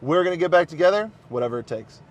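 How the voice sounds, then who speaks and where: Slightly high-voiced man, emphatic. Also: lively intonation, quick pace. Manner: emphatic, repeats phrases. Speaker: guest film reviewer. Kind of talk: casual, unscripted movie discussion.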